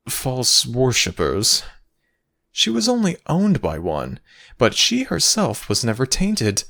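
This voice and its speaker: A man playing an urbane, theatrical storytelling god in an audio roleplay, speaking grandly and deliberately with dramatic pauses. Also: medium pitch, vocal fry, a bit bright, full character voice. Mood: lofty, wistful.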